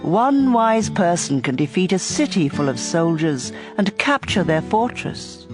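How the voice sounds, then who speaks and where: Slow-paced narrator, medium pitch, measured scripture reading, clear instrumental music behind. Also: big pitch swings. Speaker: audio Bible reader. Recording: music bed under voice.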